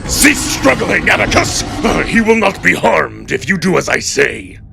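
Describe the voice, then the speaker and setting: A man voicing a commanding, ruthless older male wolf official in a dramatic story, with a gravelly growl and an imperious way of giving orders. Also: fairly high pitch, very animated, unhurried, pitched above the performer's own voice, exaggerated voice. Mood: furious. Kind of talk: dramatic audiobook-style character acting. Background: music.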